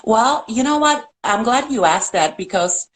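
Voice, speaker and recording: A woman's voice: on the high side, lively intonation, a little slow. Middle-aged Puerto Rican woman, earnest caller, talks at length over a video call link. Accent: Puerto Rican Spanish. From Puerto Rico. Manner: earnest, chatty, laughs often. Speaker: caller on a live stream. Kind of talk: casual video call chat.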